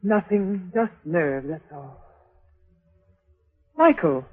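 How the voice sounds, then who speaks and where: A woman's voice: on the low side, expressive, extremely slow, breathy edge, full character voice. An actress playing a nervous, trembling woman out at night, in 1940s radio style. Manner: anxious, trembling. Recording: muffled old radio recording, music behind.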